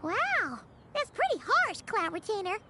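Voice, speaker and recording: A woman voicing a small, excitable fairy companion with a squeaky childlike voice and a chirpy, inquisitive way of talking, in a video game. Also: unhurried, music in the background, heavily acted. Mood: curious, surprised.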